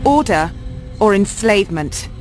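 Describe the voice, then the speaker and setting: A woman's voice: mid-range voice, lively intonation, a little slow, natural voice. An actress playing a defiant, principled young woman of a knightly order in a video game, challenging and indignant. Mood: defiant, questioning. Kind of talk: video game cutscene acting. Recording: music under the voice.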